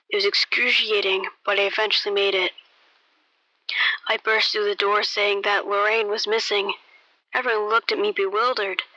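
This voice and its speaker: A young amateur performer playing a haunted, weary ex-policeman, telling the story in a measured, brooding way with dramatic pauses in a radio play. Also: mid-range voice, pushed and bright, no room sound, rough recording. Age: young adult. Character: haunted, weary ex-policeman grieving his vanished wife.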